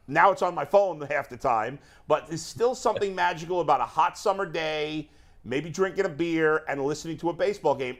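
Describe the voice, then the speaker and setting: A man, talking very quickly. He is effusive and nostalgic, in the casual style of a sports show panelist. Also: fairly high pitch. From Brooklyn, New York, United States.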